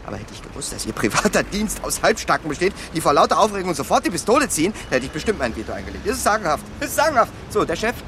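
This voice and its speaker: A brash, officious con man in a radio crime play, scolding and bossing as he goes. He talks fast with a creaky edge, over faint street ambience. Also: sits high, huge pitch swings, a bit bright, a little hiss or hum, exaggerated voice. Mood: indignant, officious. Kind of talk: radio crime drama dialogue.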